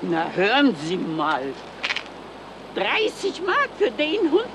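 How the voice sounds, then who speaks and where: A man's voice: extremely high pitch, huge pitch swings, slow pace, heavily acted. An actor playing a sceptical, blunt, teasing male passer-by haggling over a dog, in a 1970s German radio crime comedy. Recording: 1977 radio play, street traffic noise.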